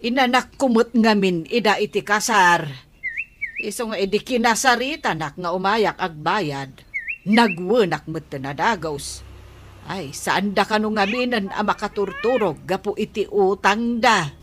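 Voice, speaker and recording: An actress playing a meek, apologetic older aunt in an Ilocano radio comedy drama. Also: female voice, medium pitch, unhurried, slight background noise, natural voice. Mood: explaining.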